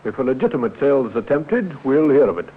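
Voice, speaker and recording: An actor playing a calm, practical police inspector with an authoritative manner in a 1950s radio drama. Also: male voice, medium pitch, fast talker, husky. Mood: businesslike. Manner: matter-of-fact, authoritative. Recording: tinny, noisy 1950s radio recording.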